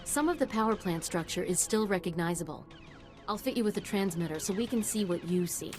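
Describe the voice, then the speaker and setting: A woman's voice: medium pitch, lively intonation, brisk, darkish tone, close to an everyday voice. A woman playing an eager, nerdy scientist in a video game, precise and absorbed. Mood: eager, intent. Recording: game music underneath.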